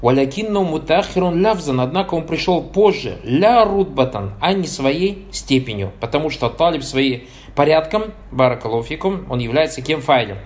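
A man's voice: a little high, expressive, moderate pace, a little mellow. A man teaching Arabic grammar, reading lines from a text, translating them and asking his listeners short check questions as he goes. He drops blessing formulas between points and corrects his own slips.

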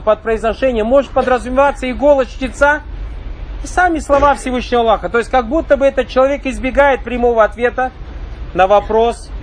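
A man delivering a measured religious lecture, explaining points step by step and checking in with rhetorical questions. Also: very high-pitched, a little hiss or hum. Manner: recites Arabic phrases among the explanation. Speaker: religious teacher.

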